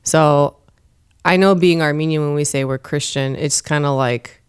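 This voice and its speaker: A woman's voice: low voice, moderate pace, smooth. A woman guest on a podcast, talking in casual interview style, earnest and emphatic when she speaks about faith. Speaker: podcast guest, comedian and performer. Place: studio.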